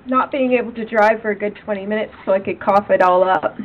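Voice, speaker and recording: A woman with a raspy voice, co-hosting a casual podcast chat and talking in an emphatic, earnest way. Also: medium pitch, quick pace, phone-quality sound. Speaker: podcast co-host.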